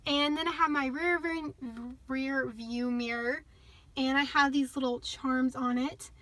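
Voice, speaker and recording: Young woman, high voice, chatty car tour on her phone inside the car, bit of hiss. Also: fairly even intonation, unhurried. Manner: chatty and upbeat, with little laughs. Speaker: vlogger. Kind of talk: casual car tour walkthrough. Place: inside a small parked car. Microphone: phone held in hand.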